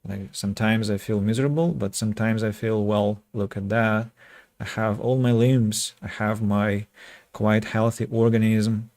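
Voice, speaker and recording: A man from Russia with a Russian accent chats casually with viewers as a game streamer, speaking reflectively and pausing mid-sentence. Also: on the low side, steady tone, a little mellow, no echo. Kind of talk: casual livestream chat with viewers.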